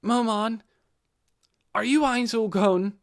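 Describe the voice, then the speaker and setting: A man's voice: high-pitched, takes it slowly, smooth, no room sound, studio quality, pitched above the performer's own voice. A man voicing an earnest, polite, nervous teenage boy in an audiobook, speaking deferentially and apologetically. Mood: nervous, grateful. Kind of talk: audiobook character voice.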